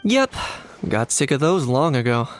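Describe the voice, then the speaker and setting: A man voicing a cool, composed young mercenary in a video game, calm, dry and understated. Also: medium pitch, a little slow, a little hiss or hum. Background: soft game music.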